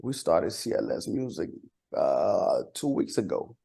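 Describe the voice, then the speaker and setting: A man with a fairly low voice talks casually as an interview guest. He uses easy slang and feels his way through his answers in a halting manner. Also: sing-song, gravelly, warm tone, slightly rough. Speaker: rapper being interviewed. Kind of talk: casual chat.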